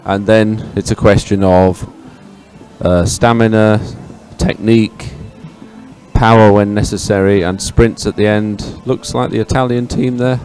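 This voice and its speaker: Male live sports commentator, lowish register, unscripted and a bit halting, prominent music under the voice. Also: fairly even intonation, a little mellow. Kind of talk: live race commentary and chat. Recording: music behind the voice.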